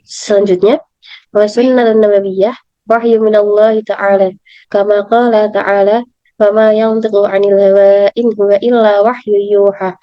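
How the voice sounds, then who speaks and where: A young woman with an Indonesian accent and a hoarse voice, reading a study presentation aloud carefully, as a student. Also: mid-range voice, little pitch movement, unhurried. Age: young adult. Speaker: university student. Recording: dull lo-fi, slightly echoing room.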